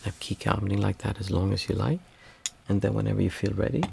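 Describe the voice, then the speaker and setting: A hoarse man with a raspy, worn voice, guiding a group meditation in a calm, unhurried way. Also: on the low side. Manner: calm, unhurried counting of breaths. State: hoarse, losing his voice. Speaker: meditation teacher.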